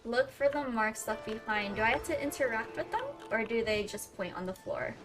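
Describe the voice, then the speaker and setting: A woman's voice: on the high side, fairly quick. A woman streamer giving casual, slangy, reactive commentary over a video game. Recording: game music behind.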